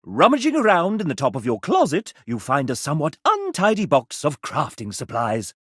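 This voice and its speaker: A man's voice: on the high side, wildly swooping pitch, normal speed, a bit bright, husky, no echo, studio quality, exaggerated voice. An actor playing a sassy, theatrical male guide, narrating a video game in an arch, chatty way.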